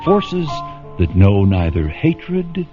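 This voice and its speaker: An actor playing a solemn, portentous science fiction radio narrator, grave and deliberate in scripted narration. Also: male voice, on the low side, sing-song, heavily acted. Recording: dull radio recording over music.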